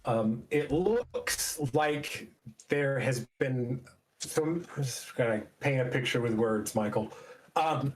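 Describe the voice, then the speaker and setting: A man working as a game master, improvising descriptive narration in a halting, conversational way. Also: medium pitch, rough recording.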